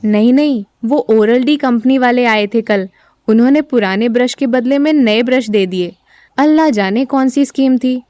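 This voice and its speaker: A woman playing a caring, worried, traditional wife who gently scolds in an audio drama. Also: fairly high pitch, moderate pace, dry recording, clean studio sound, close to an everyday voice.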